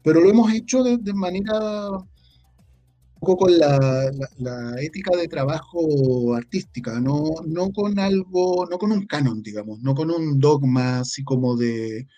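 Chilean male interview guest, slightly high mellow voice, searching for words, music underneath. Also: animated. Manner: halting, repeats words while searching for phrasing. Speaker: interview guest, audio drama writer. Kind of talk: casual interview conversation.

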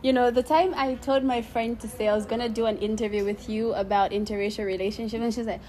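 A woman's voice: a little high, steady tone, quick pace, mellow. A young adult woman hosting a podcast in a bubbly, chatty way with frequent laughter. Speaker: podcast host. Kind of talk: casual interview chat.

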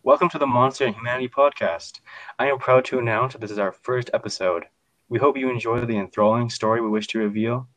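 A man's voice: mid-range voice, fairly even intonation, quick pace, a bit bright, no room sound, rough recording. A man hosting a podcast, reading a scripted introduction in a formal, grandiose manner. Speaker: podcast host.

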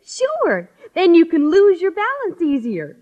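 A woman's voice: very high-pitched, huge pitch swings, unhurried, darkish tone, dull audio, full character voice. An actress playing a mischievous, eager teenage schoolgirl, girlish and gleeful, in a 1950s radio sitcom. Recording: old radio show before audience.

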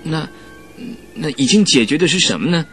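A man's voice: fairly high pitch, lively intonation, moderate pace, bright, forceful tone, soft background music. A man playing a spoiled, impatient young nobleman in a radio drama, fussy and demanding. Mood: agitated. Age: young adult.

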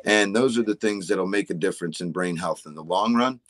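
Deep-voiced man in his forties explaining. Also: brisk, a bit bright, dry recording. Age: 40s. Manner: explanatory, frequent "right?" tags. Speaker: supplement company guest. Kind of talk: casual health explainer.

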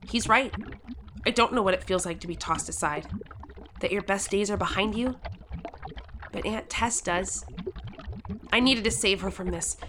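A woman's voice: fairly high pitch, sharp tone, a little hiss or hum. A woman playing an earnest, determined thirteen-year-old girl who tells her own story with urgency in an audio drama. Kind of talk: first-person audio drama narration and acting.

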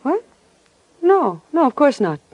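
A woman's voice: high voice, very animated, slow, a little mellow, muffled recording, exaggerated voice. An actress playing a cool, reluctant young woman in an old radio detective drama. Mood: irritated. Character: guarded, curt young woman, gangster's girlfriend.

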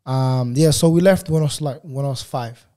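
Guest voice: young man, first language Portuguese, mellow timbre, loose and rambling storytelling. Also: mid-range voice, moderate pace. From Angola, raised in Portugal. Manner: relaxed, rambling, many fillers and restarts. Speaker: guest photographer. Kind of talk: casual interview chat.

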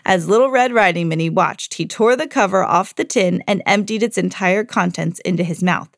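A woman hosting a read-aloud podcast, narrating a scripted children's fairy tale in an expressive storytelling style. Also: fairly low pitch, normal speed, dry recording, clean studio sound.

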